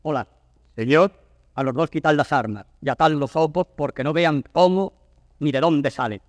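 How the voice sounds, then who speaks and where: Actor as a stern, higher-pitched prison warden. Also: male voice, moderate pace, natural voice. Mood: commanding. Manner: authoritative, formal declamation. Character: stern commanding prison warden, older nobleman. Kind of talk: classical Spanish verse stage acting. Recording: studio theatre recording, long reverb.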